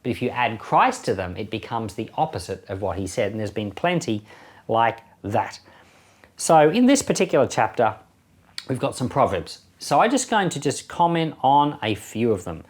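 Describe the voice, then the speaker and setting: A man's voice: mid-range voice, big pitch swings, normal speed. A man with an Australian accent speaking as a pastor, giving conversational, plain-spoken Bible teaching with everyday examples. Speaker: pastor and Bible podcast host. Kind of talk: conversational Bible teaching with read verses and anecdotes.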